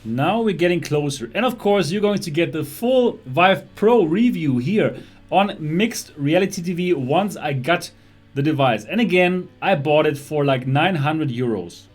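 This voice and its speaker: A man's voice: fairly high pitch, lively intonation, measured pace, soft background music. A young man from Germany with a German accent, hosting a casual livestream about VR tech, chatty and laughing often as he reads chat. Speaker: host of a VR livestream show.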